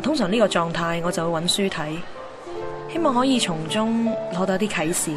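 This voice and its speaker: A woman's voice: on the low side, animated, normal speed, close to an everyday voice. Actress as guarded, wry young Hong Kong woman, music behind. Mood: wistful reflection. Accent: Hong Kong Cantonese. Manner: reflective, mixes English words into Cantonese. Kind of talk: radio drama narration and dialogue. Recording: background music under the voice.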